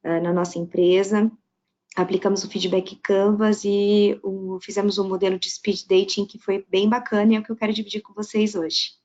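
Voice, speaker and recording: A woman who works in human resources introduces herself warmly to an online panel. Her voice is of middle pitch. The video call audio sounds slightly dull and muffled. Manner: friendly, welcoming. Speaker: HR professional, panelist. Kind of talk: self-introduction on a web panel.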